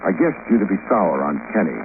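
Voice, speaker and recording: On a noisy, thin vintage radio recording, a male private detective with a fairly low voice. He is dry, deadpan and wisecracking in classic hardboiled radio style. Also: brisk, phone-quality sound. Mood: sardonic. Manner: deadpan, sardonic, probing. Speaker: detective lead and narrator. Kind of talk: 1950s radio detective narration and dialogue.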